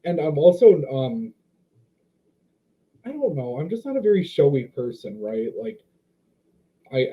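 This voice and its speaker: Guest, man in his 20s, animated and hesitant, slightly dull lo-fi recording. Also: on the high side, unhurried, long pauses. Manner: expressive, hesitant, searches for words. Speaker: dog trainer guest. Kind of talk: casual podcast interview.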